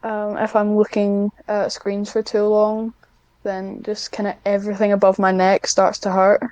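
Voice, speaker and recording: A woman's voice: mid-range voice, monotone, normal speed, darkish tone, smooth, dry recording, lo-fi sound. A young woman chatting casually as an interview guest, with a self-deprecating, dryly joking manner. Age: young adult. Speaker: podcast guest, script writer.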